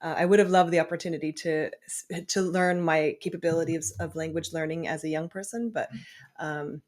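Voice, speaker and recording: A woman hosting a podcast, talking thoughtfully with pauses and fillers. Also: low-pitched, fairly even intonation. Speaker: podcast host. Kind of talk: conversational interview.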